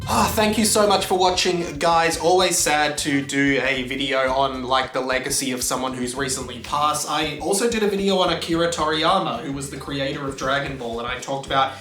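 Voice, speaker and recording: A man's voice: mid-range voice, normal speed, few pauses, pushed and bright. A man hosting a casual video tribute, talking to viewers as a pop culture commentator. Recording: roomy, slightly distant microphone.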